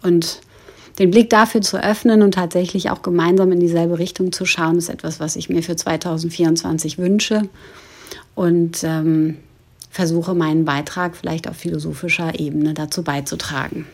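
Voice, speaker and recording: A woman giving a reflective spoken message in a measured, formal way, in long winding sentences. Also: fairly low pitch, fairly even intonation, a little mellow. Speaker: guest philosopher sending an audio message.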